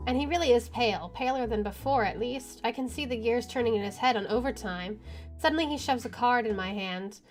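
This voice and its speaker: A woman streaming a horror visual novel, reading it aloud with animated commentary. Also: fairly high pitch, fairly quick. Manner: animated, laughs between lines. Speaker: let's-play streamer. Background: game music.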